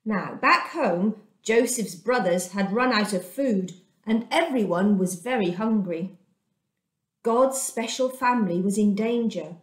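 A woman in her fifties reading a children's storybook aloud in a deliberate, expressive way, recorded indoors in a room at home. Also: medium pitch. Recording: echoey domestic room.

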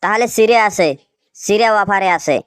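A woman's voice: mid-range voice, normal speed, a little hiss or hum, close to an everyday voice. A woman with a Rangpur Bangladeshi accent voicing a sly, argumentative shopkeeper in a comic cartoon, talking like a mock salesman. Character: sly, vengeful shopkeeper with absurd offers.

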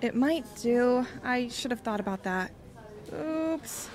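A woman's voice: fairly high pitch, animated, takes it slowly, a crowd in the background. A woman playing a breezy, teasing young woman in an amateur audio drama, with a casual, offhand manner. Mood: dismissive.

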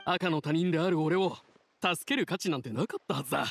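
An actor voicing a humble, earnest young boat captain in a video game, plain-spoken and sincere. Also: male voice, on the high side, quick pace, gravelly, faint music behind. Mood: humbled, grateful. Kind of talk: video game dialogue acting.

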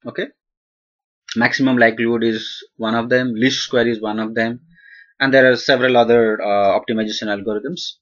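A man's voice: mid-range voice, steady tone, fairly quick, dull audio. A young man with an Indian accent, his first language not English, answering viewer questions in a recorded slide lecture, full of "uh" fillers and restarts. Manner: hesitant, many "uh" fillers and restarts. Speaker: analytics instructor.

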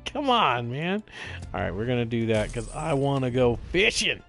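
A man's voice: mid-range voice, big pitch swings, normal speed, vocal fry, a bit bright. A man giving casual, sweary video game commentary, often playfully repeating himself in a sing-song way. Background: video game music and sounds.

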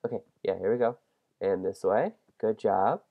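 A young man with a head cold and a congested voice, hosting a casual game let's-play, excitable and self-mocking. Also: lowish voice, moderate pace, phone-quality sound. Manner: excitable, self-deprecating, shrieks at mistakes. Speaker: gaming channel host.

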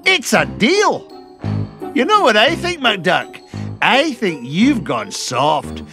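An actor playing a greedy, gloating old cartoon duck tycoon, blustering and sneering. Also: male voice, sits high, huge pitch swings, takes it slowly, over music, heavily acted.

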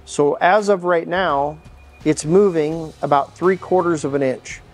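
A man's voice: on the high side, a little slow, soft background music. A middle-aged man with a North American accent gives informal, step-by-step hands-on instruction. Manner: explanatory, step-by-step. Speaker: mechanic instructor. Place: large indoor workshop.

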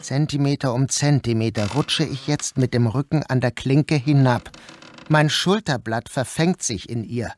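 A man's voice: mid-range voice, unhurried. A man narrating an audio drama in the first person, as its protagonist. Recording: noticeable background noise.

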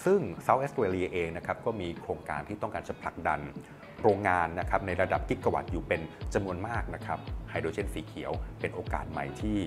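A man's voice: low-pitched, lively intonation, fast talker. A man, presenting a news report in a conversational, explanatory way on a lapel mic in a studio. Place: small indoor studio at a desk. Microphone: clip-on lapel mic. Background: background music.

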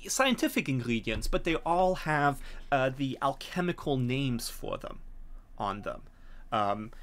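A man acting as game master, explaining a scenario in a hesitant, halting way. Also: medium pitch, a bit bright. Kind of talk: narrating a tabletop game scenario. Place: small home room, video call.

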